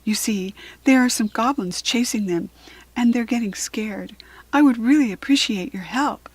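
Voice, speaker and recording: Woman voicing a kindly, eager little zebra fairy, breathy. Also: on the high side, moderate pace, dry recording, rough recording. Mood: earnest, worried. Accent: American.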